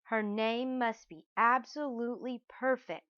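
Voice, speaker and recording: A woman voicing an adoring, earnest storybook father who savors each word in a measured way. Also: on the high side, no room sound, lo-fi sound, natural voice.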